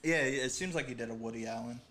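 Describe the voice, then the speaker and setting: A man's voice: mid-range voice, fast, fairly bright tone. A man co-hosting a podcast, chatting casually with wry, teasing asides. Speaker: podcast co-host. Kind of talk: casual chat.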